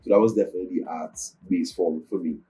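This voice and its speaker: Young Nigerian man, Yoruba native, low and dark voice, measured and earnest interview guest. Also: steady tone, faint music behind, rough recording. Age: young adult. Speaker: guest musician. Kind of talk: interview answers.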